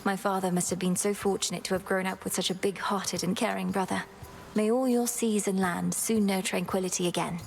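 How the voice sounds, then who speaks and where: A woman acting a determined young goddess in a video game, speaking in a formal, measured, earnest way. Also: medium pitch, steady tone, vocal fry, slight background noise, close to an everyday voice, low-quality recording. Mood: earnest, reverent. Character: solemn, determined young goddess, vengeful niece.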